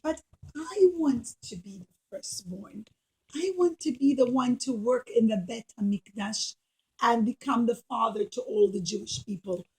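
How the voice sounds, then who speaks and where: Studious big boy in a puppet show, played by a woman: earnest, firm, deliberate, on the high side. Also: a little mellow, lightly acted, poor audio. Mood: earnest, bargaining.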